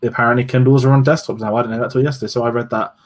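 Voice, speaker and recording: A man co-hosting a casual podcast chat, halting and searching for his words. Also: mid-range voice, steady tone. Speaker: podcast co-host.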